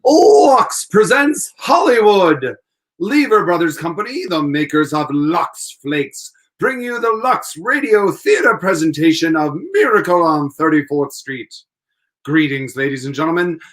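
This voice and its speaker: A man's voice: on the high side, animated, unhurried, fairly bright tone. A man hosting as a radio announcer, reading a formal scripted introduction in showman-like 1940s style.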